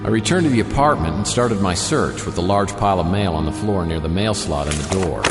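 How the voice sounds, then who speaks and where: An actor playing a wisecracking, world-weary private detective in a noir radio drama, with a wry, deadpan delivery. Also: male voice, low voice, a little fast. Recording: roomy reverb, music underneath.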